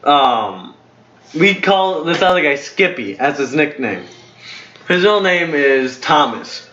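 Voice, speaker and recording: A man's voice: fairly high pitch, animated, takes it slowly, bright, forceful tone. Rambling young man in a roomy room. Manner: rambling, full of fillers and self-corrections. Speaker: storyteller host. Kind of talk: casual storytelling chat. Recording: roomy, dull lo-fi home recording.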